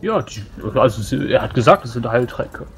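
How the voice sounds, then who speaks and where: A man playing a plain-spoken, grumbling dwarf warrior in a tabletop roleplay, speaking haltingly and matter-of-factly. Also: mid-range voice, animated, close to an everyday voice. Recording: faint background noise.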